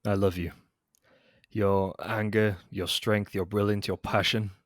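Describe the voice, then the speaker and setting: Man as a deep-voiced, lovelorn captain. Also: flat delivery, measured pace, no room sound, clean studio sound, natural voice. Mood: ardent devotion. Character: lovelorn, devoted Sith military captain. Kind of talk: audio drama acting.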